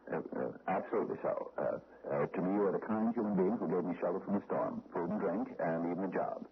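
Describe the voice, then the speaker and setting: Timid, stammering deep-voiced American man. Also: quick pace. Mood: fearful pleading. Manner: nervous, stammering, hesitant, placating. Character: timid, stammering mild-mannered man held captive by a gunman. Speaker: actor in a radio mystery play. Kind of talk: 1970s American radio drama acting. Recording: muffled, noisy old radio broadcast recording.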